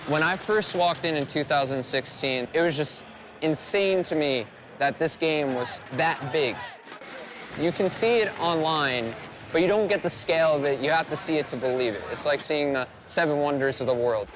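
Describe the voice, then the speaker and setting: A man's voice: on the high side, normal speed. Teen guy giving casual interview answers, noisy urban surroundings. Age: late teens. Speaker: gaming fan and streamer. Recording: noisy urban event ambience. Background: clear background noise.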